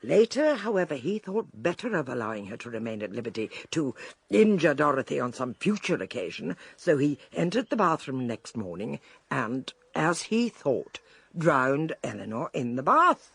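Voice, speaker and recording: An actress playing a shrewd, eccentric older British lady detective in a radio drama, theatrical, deliberate and drily amused. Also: extremely low pitch, big pitch swings, creaky, husky, dry recording, exaggerated voice. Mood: assured, probing.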